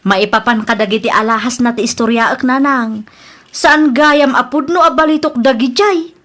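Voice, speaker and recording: A woman's voice: fairly high pitch, moderate pace, a bit bright, lightly acted. A woman with an Ilocano accent playing a gossipy, prying in-law in a family audio drama. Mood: gossipy, insistent.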